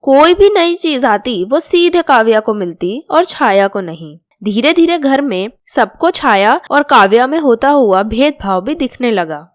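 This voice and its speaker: A woman's voice: fairly high pitch, animated, unhurried, hardly any pauses, no room sound, studio quality. A woman narrating a scripted moral story as its storyteller.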